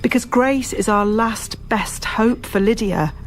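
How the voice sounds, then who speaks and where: An actress playing a brisk, dryly sardonic senior policewoman in a naturalistic radio drama. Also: a little high, faint music behind, natural voice. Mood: concerned, brisk.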